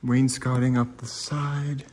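Male explorer-vlogger, medium pitch, informal and impressed, slight cold, faintly noisy handheld sound indoors. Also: flat delivery, takes it slowly, a little mellow. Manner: informal, awed, mildly sweary. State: getting over a cold with a lingering cough. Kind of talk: casual walkthrough commentary. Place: inside empty rooms of a large abandoned house.

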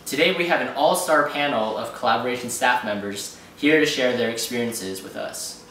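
A young man co-hosts with a relaxed, loose delivery, often saying "you know." His pitch is mid-range. A distant mic in a heavily echoing room picks him up with faint hiss. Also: moderate pace. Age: young adult. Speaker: vlog host. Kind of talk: casual hosting. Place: echoey living room indoors. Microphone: far camera mic.

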